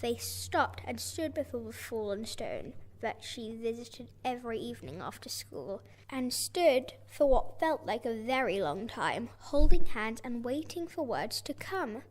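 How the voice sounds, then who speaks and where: A woman's voice: a little high, expressive, unhurried, hardly any pauses, poor audio. A young girl reading scripted narration for a radio play. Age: child or young performer.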